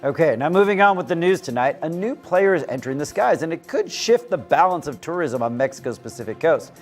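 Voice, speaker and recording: A man's voice: a little high, lively intonation, brisk, faint music behind. Man news anchor, friendly handoffs. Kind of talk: live TV news.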